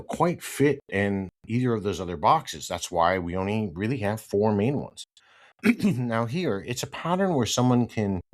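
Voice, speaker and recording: A man hosting a solo podcast, sounding congested and a little nasal from hay fever, talking in a conversational way and tagging "right?" onto many points. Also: fairly low pitch. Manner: conversational, repeats "right?" often, turns firm and emphatic when ranting. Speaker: podcast host.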